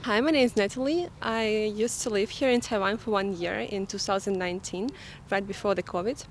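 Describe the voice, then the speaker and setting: A woman's voice: medium pitch, fairly quick, a little hiss or hum, rough recording. Enthusiastic woman hunting for words. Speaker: former language student, interviewee. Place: outdoors on a city street.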